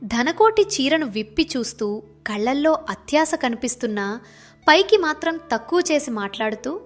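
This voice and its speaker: A woman's voice: high voice, very animated, normal speed, faint music behind. A woman narrator reading a scripted village moral story in a dramatic storytelling style.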